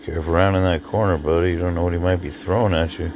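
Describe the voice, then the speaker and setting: A man's voice: low-pitched, level tone, quick pace, very noisy. A young man from the Los Angeles area giving wry, casual commentary over videos, swearing and laughing now and then.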